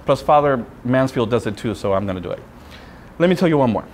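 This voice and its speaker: A man, a Spanish speaker, preaching a sermon as a friar with personal storytelling, in deliberate phrases broken by pauses. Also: mid-range voice, animated, a little hiss or hum. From Puerto Rico. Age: 30s.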